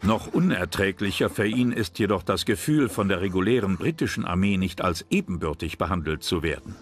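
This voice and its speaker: A man narrates a documentary in a fairly low voice. His delivery is scripted storytelling, with clear music running underneath. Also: expressive, brisk. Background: background music.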